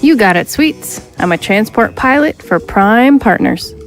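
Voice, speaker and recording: A woman's voice: on the high side, lively intonation, measured pace, faint music behind. Cocky space smuggler woman, acted by a woman. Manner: cocky, teasing, rule-bending. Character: brash swaggering woman space smuggler pilot. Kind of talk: audio drama acting.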